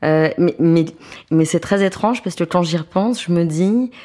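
A woman in her fifties, a translator and author, talking in a relaxed, thoughtful way with many small restarts, seated at home. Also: fairly low pitch, moderate pace. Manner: hesitant, thoughtful, repeats words when starting. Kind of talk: relaxed conversational interview. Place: apartment living room, seated on a sofa.

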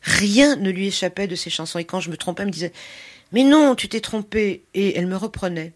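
Expressive older woman. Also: medium pitch, fairly quick. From Paris, France. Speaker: interview guest. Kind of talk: conversational personal storytelling.

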